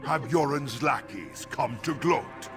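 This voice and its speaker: A man's voice: mid-range voice, animated, slow, over music. An actor playing a proud, hard-headed, bitter widowed king in a video game, imperious and scornful. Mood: bitter grief. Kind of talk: scripted video game dialogue.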